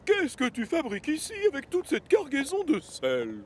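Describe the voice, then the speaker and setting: A man voicing a fussy, commanding railway boss, brisk and bossy, in a French-dubbed children's cartoon. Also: very high voice, huge pitch swings, slight background noise, heavily acted. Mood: urgent, bossy.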